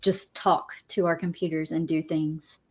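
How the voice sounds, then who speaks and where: Woman cohost, lowish voice, easy chatty banter. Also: flat delivery, measured pace, a little mellow, husky. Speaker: event co-host. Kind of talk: casual live-stream chat.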